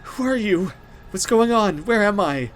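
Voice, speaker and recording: A man voicing a frightened, mysterious woman escaped from captivity in a horror game, halting and dramatic. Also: sits high, expressive, a bit bright, a little hiss or hum, voice pushed up. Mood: panicked.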